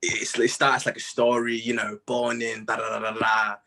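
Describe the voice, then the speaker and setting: A man's voice: lowish voice, little pitch movement, moderate pace, pushed and bright. A young man from Zimbabwe, chatting casually and laughing often on a video call. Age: young adult. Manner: slangy, laid-back, laughs often. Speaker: musician guest. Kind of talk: casual interview chat.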